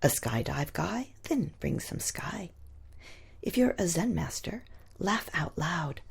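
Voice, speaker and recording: A woman with a low, very husky and breathy voice recites rhymed poetry. She takes it slowly, phrase by phrase, with deliberate weight on each short line and a gravelly creak running through. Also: expressive. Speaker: poet.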